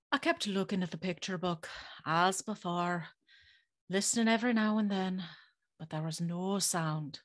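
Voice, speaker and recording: A woman's voice: fairly low pitch, full character voice. A woman playing an anxious, spirited young servant girl who tells her tale expressively in a gothic audio drama. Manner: expressive, breathy, nervous.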